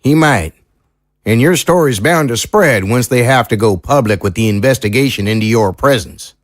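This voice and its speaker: An actor playing an earnest, troubled small-town sheriff in an audio drama, speaking in a folksy, sincere, measured way. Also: male voice, mid-range voice, lively intonation, no echo, clean studio sound, natural voice. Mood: grave, candid.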